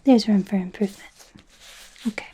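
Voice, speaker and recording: A woman voicing an awkward, gentle werewolf doctor in a hesitant, breathy whisper for an ASMR roleplay. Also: mid-range voice, natural voice. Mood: gently clinical. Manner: hesitant, halting, softly reassuring. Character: awkward, curious young female werewolf doctor, gentle and apologetic. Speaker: ASMR performer.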